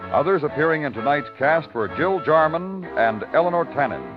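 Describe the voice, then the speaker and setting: An American man announcing a 1950s radio thriller in a measured, formal, dramatic scripted style. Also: a little high, expressive. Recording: muffled 1950s radio recording. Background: orchestral music.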